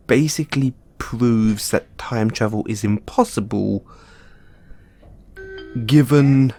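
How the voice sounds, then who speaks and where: A man playing a nervous, geeky mad scientist boyfriend in an audio roleplay, explaining things haltingly to his partner. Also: fairly low pitch, darkish tone. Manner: hesitant, stammering, explaining at length. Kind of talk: audio roleplay acting, addressing the listener as partner.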